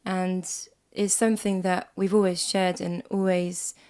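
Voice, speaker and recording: A woman in her twenties from Switzerland with a slight Swiss accent, co-hosting a casual podcast chat at home, a little croaky from a cold and quick to laugh. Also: fairly low pitch, level tone. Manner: chatty, warm, laughs often. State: hoarse from a cold. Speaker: podcast co-host. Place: small music room at home.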